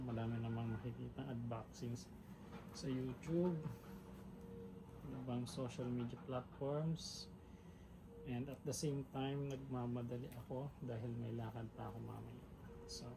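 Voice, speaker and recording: Male vlogger, casual and chatty, works while talking, flat delivery, noisy recording. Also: medium pitch. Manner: chatty, narrates while working with his hands; relaxed, mixes English into his talk. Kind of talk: casual how-to vlog. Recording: clear background noise.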